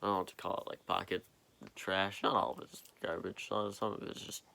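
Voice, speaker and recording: Tired young man with a deep voice. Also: flat delivery, vocal fry, sharp tone. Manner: tired, halting, lots of fillers and trailing off. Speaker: vlogger. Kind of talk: rambling late-night monologue. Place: quiet basement at night. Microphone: phone propped up nearby.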